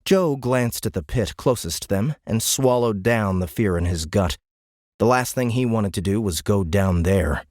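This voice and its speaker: A man with a lowish voice reads dramatic science fiction prose in measured, grave phrases. The recording is very dry and close, like a studio booth. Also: animated, a little mellow, no echo. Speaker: audiobook narrator. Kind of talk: dramatic scripted narration of military science fiction.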